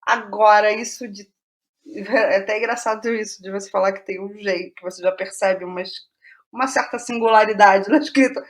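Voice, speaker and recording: A woman's voice: medium pitch, fairly even intonation, moderate pace. A Brazilian woman being interviewed as a playwright, talking casually and reflectively, thinking aloud and wandering into digressions.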